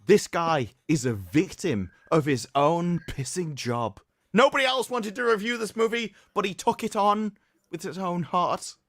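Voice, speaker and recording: Theatrical, sarcastic man with an animated voice. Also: high-pitched, measured pace, dry recording, studio quality. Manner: theatrical, sarcastic, mock-solemn. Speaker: livestream host. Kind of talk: mock-dramatic reading with commentary.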